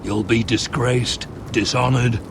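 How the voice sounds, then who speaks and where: An actor playing a stern, accusing, scornful officer of a sworn brotherhood in a game drama, with a gravelly voice. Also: male voice, mid-range voice, fairly even intonation, takes it slowly, background noise. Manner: menacing, scornful.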